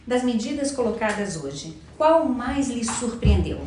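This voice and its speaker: A woman reporter interviewing someone, asking brisk, persistent follow-up questions. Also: on the high side, big pitch swings, a little mellow, very echoey, a little hiss or hum. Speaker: news agency reporter.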